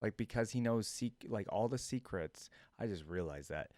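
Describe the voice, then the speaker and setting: A man's voice: on the low side, lively intonation, normal speed, a little mellow, no echo, studio quality. A man reacting casually to game voice lines, thinking aloud and often trailing off. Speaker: reaction youtuber.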